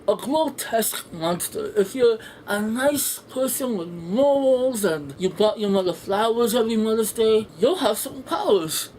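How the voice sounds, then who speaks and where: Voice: mid-range voice, a little mellow. A reviewer giving a casual, rambling and opinionated movie review, speaking bluntly and sarcastically with plenty of swearing. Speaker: film reviewer, video host. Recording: noisy home room with background ambience.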